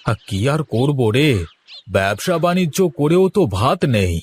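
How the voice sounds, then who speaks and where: A man's voice: fairly high pitch, big pitch swings, a little slow, husky, exaggerated voice. A man voicing an easygoing, practical village farmer in a cartoon, talking in a homely, colloquial way. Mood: resigned, practical. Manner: homely, colloquial, ends remarks with checking questions. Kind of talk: cartoon dialogue.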